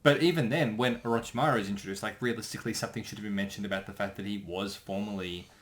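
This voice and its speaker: A man's voice: on the low side, very fast. A man co-hosting a casual commentary in a matter-of-fact way, chipping in with short remarks.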